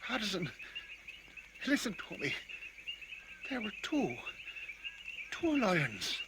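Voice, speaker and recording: Anxious, high-voiced doctor on safari. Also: male voice, wildly swooping pitch, takes it slowly, exaggerated voice. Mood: pained, urgent. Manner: hesitant, stammering. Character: anxious male doctor on a safari, easily rattled. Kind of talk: radio drama acting. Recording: noisy 1980 radio drama recording.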